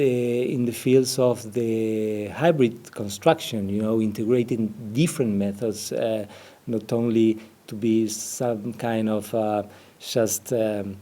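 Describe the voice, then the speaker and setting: Man, Argentine accent, unhurried delivery, hesitant, stops to find words. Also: lowish voice, dark tone. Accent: Argentine Spanish. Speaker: business developer. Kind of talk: interview answers.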